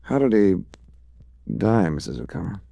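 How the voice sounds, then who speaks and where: An actor playing a dry, unhurried hard-boiled investigator in a 1940s radio drama, narrating and talking in character. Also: male voice, fairly low pitch, sing-song, vocal fry, dark tone, no echo. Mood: sober, probing. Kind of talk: 1940s radio acting, first-person narration and dialogue.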